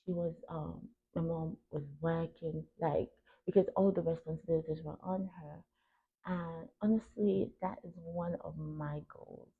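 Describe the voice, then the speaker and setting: The sound is narrow and phone-like. A young woman speaks in a fairly low, creaky voice. She hosts in a reflective, personal way, earnest and emphatic, breaking her thoughts into short phrases. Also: steady tone, a little slow. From Cameroon. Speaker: podcast host. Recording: tinny phone-like narrow band.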